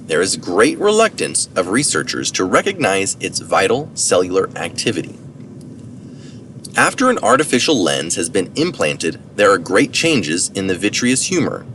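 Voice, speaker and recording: A man with a fairly low voice reads an article aloud, his intonation moving up and down a lot. He takes care over technical words and keeps an informal touch. He sounds some way from the microphone. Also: fairly bright tone. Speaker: reader of articles. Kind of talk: reading an article aloud with brief asides. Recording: distant mic with faint background noise.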